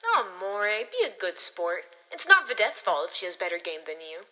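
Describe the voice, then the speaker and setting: A woman's voice: medium pitch, big pitch swings, fairly quick, bright, forceful tone, in a large hall, rough recording. A woman playing a playful, breezy, teasing biker in a comedic audio drama.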